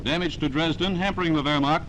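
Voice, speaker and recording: A man's voice: fairly high pitch, fairly even intonation, fast talker, very noisy. A man narrating a 1940s newsreel in a dramatic, declamatory scripted style, on a vintage soundtrack.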